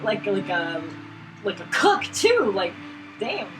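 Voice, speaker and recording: A woman's voice: medium pitch, sing-song, slow pace. A woman co-hosting a let's play with casual banter. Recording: improvised mic, game music behind. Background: game music.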